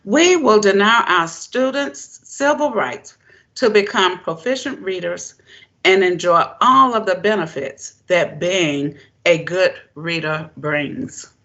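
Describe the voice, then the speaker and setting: A woman giving a formal prepared keynote speech as a presenter, in deliberate, emphatic, measured phrases. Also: on the low side, sharp tone, highs cut off. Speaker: presenter, education keynote speaker.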